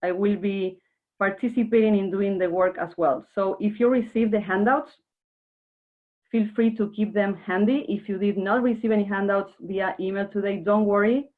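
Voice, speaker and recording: A woman's voice: medium pitch, steady tone, normal speed. Woman from Argentina presenting over a tinny line. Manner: earnest, explanatory, addresses the audience directly. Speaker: workshop presenter. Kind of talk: webinar presentation. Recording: narrow phone-like online call audio.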